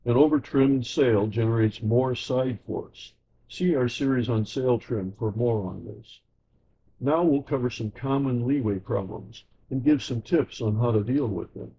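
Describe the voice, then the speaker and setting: A man giving a scripted sailing lesson as slideshow narration, in an even, measured explanatory way. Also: mid-range voice, slightly rough, muffled recording. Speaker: sailing instructor.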